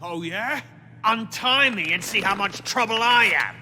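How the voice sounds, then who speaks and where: An actor playing a cocky, sarcastic thug under interrogation in a video game, with a mouthy, taunting manner. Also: male voice, high-pitched, animated, measured pace, pushed and bright, a little hiss or hum, full character voice. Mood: defiant. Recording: long reverb, music underneath.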